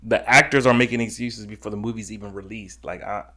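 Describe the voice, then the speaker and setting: A man's voice: on the low side, fairly even intonation, fast talker, sharp tone. A man co-hosting a casual gaming podcast, rambling with many restarts and blunt opinions. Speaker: podcast co-host. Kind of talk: casual chat.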